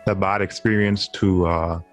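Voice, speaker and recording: Bahamian man, twenties, deep voice, earnest, soft music behind, video call. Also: fairly even intonation, unhurried. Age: 20s. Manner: earnest, laughs easily. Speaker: interview guest, singer. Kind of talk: casual interview chat.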